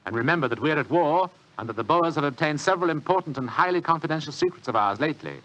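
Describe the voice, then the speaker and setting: An actor playing a lazy, pompous, shrewd British government official with a grumbling, dryly superior manner, in 1940s radio drama style. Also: male voice, fairly high pitch, lively intonation, quick pace, a bit bright, muffled recording. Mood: shrewd, intent.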